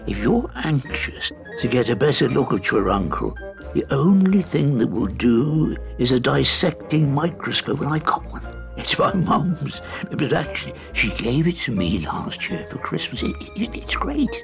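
A man voicing a shy, clever teenage schoolgirl who stammers and rushes with eager excitement. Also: medium pitch, vocal fry, music in the background, pitched above the performer's own voice. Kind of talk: audiobook character reading.

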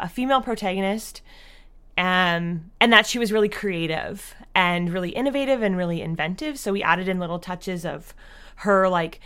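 Lower-voiced woman in conversational interview. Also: a bit bright, smooth. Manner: hesitant, filler-heavy phrasing with frequent "like" and "kind of". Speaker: interview guest, audio drama creator.

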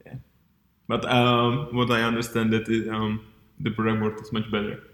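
A man hosting a casual podcast interview, haltingly searching for his words as he asks questions. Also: on the low side, monotone, a bit bright. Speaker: podcast host. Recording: roomy, echoey sound.

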